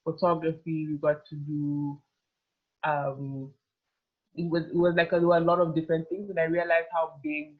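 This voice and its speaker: A woman's voice: low-pitched, flat delivery, normal speed. A woman in her twenties from Uganda, chatting as a guest in a casual interview and rambling with lots of "like" fillers. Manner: rambling, heavy use of "like" fillers. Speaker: guest, fashion model. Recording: tinny phone-like call audio.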